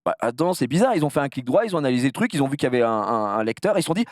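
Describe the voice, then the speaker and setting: A man from the south of France chatting casually as an interview guest, telling anecdotes in an animated, slangy way with some crude language. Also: medium pitch, very fast, dry recording, clean studio sound. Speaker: streamer being interviewed.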